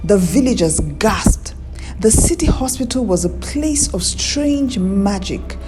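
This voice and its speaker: A woman narrating a scripted folktale in a measured, dramatic storytelling manner. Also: medium pitch, expressive, over music.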